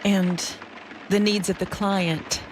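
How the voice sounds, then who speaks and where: A woman voicing a frightened witness in a mystery game, haltingly recounting something dreadful in a dramatic way. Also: mid-range voice, fairly even intonation, noisy background, natural voice. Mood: shaken recollection. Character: shaken, frightened female witness recounting a horror. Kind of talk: video game voice acting.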